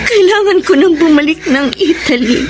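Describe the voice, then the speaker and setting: A woman's voice: extremely high pitch, animated, normal speed. A woman acting an anguished wife in a Filipino radio drama, pleading and emotional, as she leaves her husband for another man. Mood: tearful, guilty.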